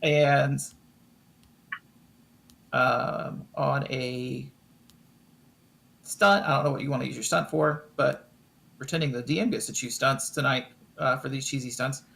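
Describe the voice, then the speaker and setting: A man narrating a tabletop game as game master, improvising with frequent ums and pauses. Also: medium pitch, steady tone, creaky, a bit bright.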